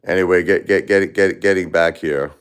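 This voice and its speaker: A man's voice: low-pitched, steady tone, normal speed, clean tone. A man from Brooklyn, talking casually on his livestream, rambling with repeated words and answering chatters by name. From Brooklyn, New York. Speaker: livestream host. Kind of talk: casual livestream chat with viewers.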